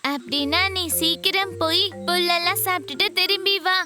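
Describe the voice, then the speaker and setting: Cartoon ant boy: tiny, very high, easygoing pal, music behind. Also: normal speed. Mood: unbothered. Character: small male ant, sleepy, easygoing friend. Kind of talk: children's cartoon dubbing.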